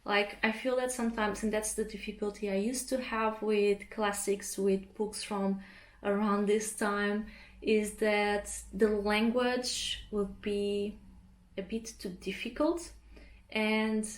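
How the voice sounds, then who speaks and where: A relaxed, chatty woman whose first language is Portuguese talks to her viewers in an easy vlog style, with a mid-range voice. She speaks from home, and the room adds a noticeable echo. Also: medium pitch, slow pace. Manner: chatty, relaxed, often laughs mid-sentence. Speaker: art vlogger. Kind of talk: casual chat to camera. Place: home interior. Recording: roomy echo.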